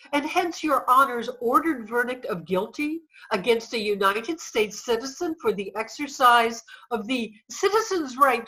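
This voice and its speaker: A woman's voice: fairly high pitch, pushed and bright, full character voice, low-quality recording. A woman playing a defiant, dignified suffragist on trial, speaking oratorically and emphatically in a reader's theater piece. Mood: protesting. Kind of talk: reader's theater courtroom speech.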